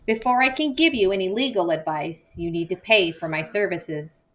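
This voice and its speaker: A woman's voice: medium pitch, normal speed, a bit bright, muffled recording, slight background noise, natural voice. A woman voicing a dismissive, businesslike older male lawyer in a children's novel read-aloud. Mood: curt. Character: curt, money-minded older male immigration lawyer.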